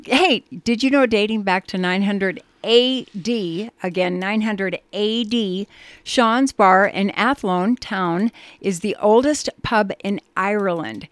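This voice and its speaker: A woman's voice: mid-range voice, slow pace, no room sound. A woman co-hosting a radio show, chatting and reading ads in an upbeat way with bursts of emphasis. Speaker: radio co-host.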